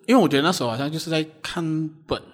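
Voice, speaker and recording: A man with a Malaysian accent chatting casually in an animated, self-deprecating way about his own amateur performing. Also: fairly high pitch, rapid-fire. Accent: Malaysian Chinese-language. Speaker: amateur audio drama performer. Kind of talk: casual chat.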